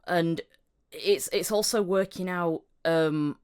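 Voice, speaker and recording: A woman's voice: lowish voice, slow, a little mellow, clean tone. A British woman hosting a casual podcast chat, hesitant and self-effacing, recorded in a bedroom on a condenser microphone. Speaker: podcast host, voice actor. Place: bedroom at home.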